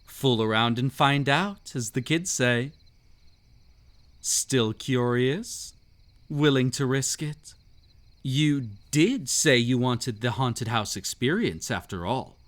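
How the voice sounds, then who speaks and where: A man playing the whimsical, mysterious and sinister host of a haunted estate in a scripted audio drama, teasing and theatrical with drawn-out phrasing. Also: medium pitch, lightly acted. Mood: ominous teasing.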